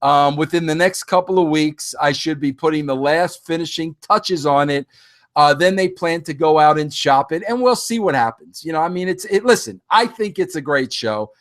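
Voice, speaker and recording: A man's voice: a little high, normal speed. A man in his fifties hosting a podcast, talking casually and animatedly straight to his listeners, often rambling and restarting his sentences. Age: 50s. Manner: addresses listeners directly. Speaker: podcast host. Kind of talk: casual solo monologue.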